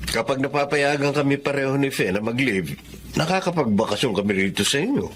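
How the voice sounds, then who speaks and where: A man's voice: medium pitch, animated, brisk, fairly bright tone, a little hiss or hum, natural voice. An actor with a Filipino accent playing a polite, considerate young working father in a radio drama. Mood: curious, earnest.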